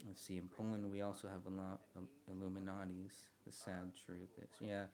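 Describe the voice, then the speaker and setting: A soft-spoken man chatting casually on a live stream, hesitant, with many ums and restarts, close to the mic. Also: sits low, level tone, normal speed.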